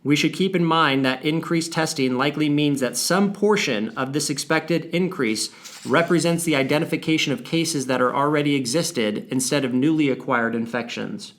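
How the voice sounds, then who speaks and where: Higher-pitched man reading a formal briefing. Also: moderate pace, a bit bright. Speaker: financial firm presenter on a client briefing call. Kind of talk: scripted briefing read aloud.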